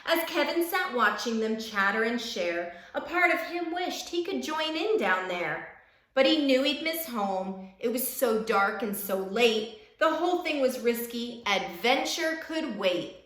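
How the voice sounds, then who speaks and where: A woman teacher reading a children's storybook aloud in an expressive, encouraging way, speaking directly to young listeners. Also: on the high side, a little slow, reverberant.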